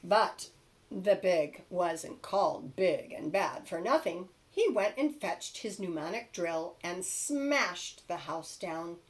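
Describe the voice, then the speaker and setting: A woman's voice: lowish voice, animated, slow. A woman narrating a children's storybook expressively, like a teacher reading aloud to young children.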